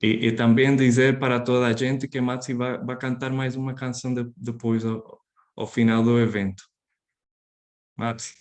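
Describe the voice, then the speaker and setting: A man moderating an online event, speaking haltingly with many fillers. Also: medium pitch, level tone, a little mellow.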